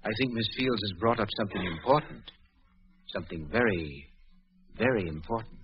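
An actor playing a bossy, scheming, hard-edged crook with curt, commanding speech in a 1940s radio drama. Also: male voice, fairly low pitch, animated, moderate pace, close to an everyday voice. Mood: insistent. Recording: muffled 1940s radio recording.

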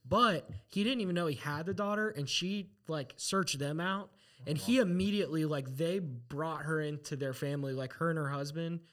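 A man co-hosting a podcast in casual chat, telling stories in an animated way and quoting people as he goes. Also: a little high, normal speed, hardly any pauses. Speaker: podcast co-host.

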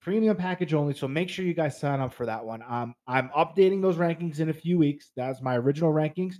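A man in his thirties from New Jersey talks through a casual solo podcast with a slightly raised pitch. He is stuffed up and congested, yet stays chatty and upbeat, speaking straight to his listeners. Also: a little fast, a little mellow. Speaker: fantasy football podcast host.

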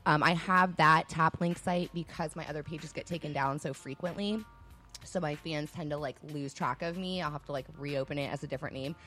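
A woman's voice: sits low, fairly even intonation, fairly quick, sharp tone, faint music behind. Frank woman in her thirties. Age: 30s. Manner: frank, explains at length. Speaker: guest. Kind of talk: casual podcast chat.

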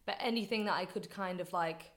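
A woman's voice: medium pitch, brisk. A woman in her twenties from Liverpool with a Scouse accent, a guest chatting casually in an interview and using lots of "like" fillers. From Liverpool, England. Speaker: podcast guest, campaigner.

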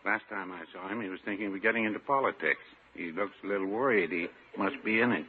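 An actor playing a mild, dry-witted pharmacist, deadpan and unhurried, in a 1950s radio comedy. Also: male voice, deep voice, natural voice. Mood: folksy. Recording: noisy, muffled 1950s radio recording.

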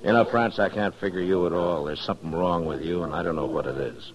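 An American actor playing a terse, authoritative frontier marshal in a 1950s radio western, on a muffled vintage recording. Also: male voice, low voice, fast talker, crowd noise, close to an everyday voice. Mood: reproving. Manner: terse, plain-spoken, authoritative. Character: stern, laconic frontier marshal, grown man. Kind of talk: 1950s radio drama acting.

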